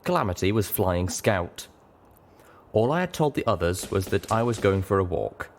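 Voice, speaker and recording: A man's voice: low-pitched, animated, moderate pace, slight background noise. A man reading a scripted first-person story as an audiobook narrator.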